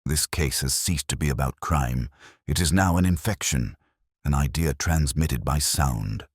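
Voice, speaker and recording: A man voicing a brilliant, intense gentleman detective in an audiobook, speaking in a deliberate, theatrical and incisive way. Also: very deep voice, slightly rough, dry recording, clean studio sound, heavily acted. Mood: grave, brooding.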